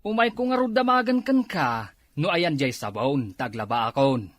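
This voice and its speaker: A man's voice: a little high, moderate pace, no echo, lightly acted. A man acting a quarrelsome village husband in a comedy drama, with a Filipino accent. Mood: grumbling.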